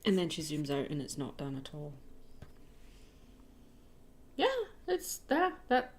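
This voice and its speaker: A woman's voice: deep voice, wildly swooping pitch, slow pace, a little mellow, a little hiss or hum. A woman chatting casually and playfully while livestreaming her artwork. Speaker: digital artist livestreaming.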